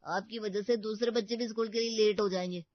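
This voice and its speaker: A woman voicing a gruff, impatient male school bus driver for a cartoon, blunt and grumbling. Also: medium pitch, steady tone, brisk, no echo, close to an everyday voice. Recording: dull, muffled audio.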